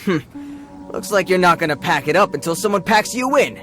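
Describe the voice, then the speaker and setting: Swaggering thug, highish voice, animated, slangy, mocking, music behind. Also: male voice, brisk. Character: sneering streetwise male bandit, slangy and mocking. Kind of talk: video game voice acting. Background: game music.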